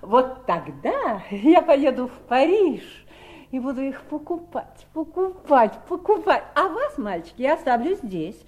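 An actress in a 1970s Soviet radio play playing an ambitious, imperious sister with a mocking, commanding manner. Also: female voice, high voice, sing-song, a little slow, darkish tone. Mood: dreamy, teasing. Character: ambitious, imperious sister hungry for money and city life.

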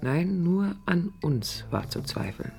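Searching, creaky-voiced passionate woman. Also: on the low side, very animated, slow pace, mellow, soft background music, exaggerated voice. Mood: reflective. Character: passionate, searching young woman architect looking back on her life. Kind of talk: intimate reflective radio drama monologue, 1980s.

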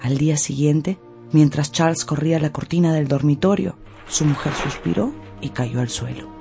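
A woman narrator telling a scripted story for a radio drama in an expressive, theatrical way. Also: low-pitched, moderate pace, vocal fry. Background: faint music.

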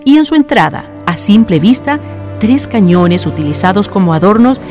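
A woman's voice: mid-range voice, sing-song, moderate pace, darkish tone, faint music behind. A woman reading scripted narration for a radio drama.

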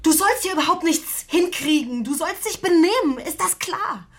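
An actress playing an anxious woman in a radio crime drama, urgent and reproachful, with a slightly husky voice. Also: very high-pitched, lively intonation, moderate pace. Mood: angry, ashamed. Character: anxious, scolding working-class woman, pleading and easily cowed.

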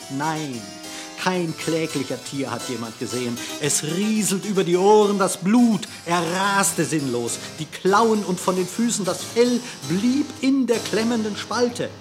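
A man narrating a verse fable theatrically, with dramatic, expressive declamation. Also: high voice, a little slow. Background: music.

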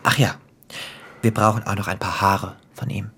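A man acting in a German radio play as a cruel, gleeful crime boss, theatrical and taunting, with a husky voice that drops into whispers. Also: lowish voice, steady tone, normal speed, bright, forceful tone, heavily acted. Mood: sly, commanding. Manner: theatrical, taunting, savoring storyteller.

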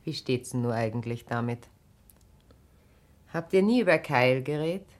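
An actress playing a grave, perceptive, caring wife in a radio drama, speaking earnestly and probingly. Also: female voice, very deep voice, lively intonation, normal speed. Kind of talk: naturalistic German radio drama acting.

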